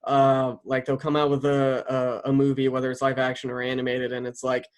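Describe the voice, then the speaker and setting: A man's voice: medium pitch, monotone, a little fast, clear, resonant tone. A man hosting a casual interview, talking at length in an enthusiastic, chatty way. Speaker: interviewer and series writer.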